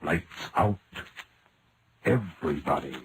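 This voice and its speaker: A man's voice: extremely low pitch, animated, a little hiss or hum, heavily acted. An actor playing a sinister announcer on an old-time radio horror show, drawing his words out ominously. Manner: ominous, drawn-out delivery.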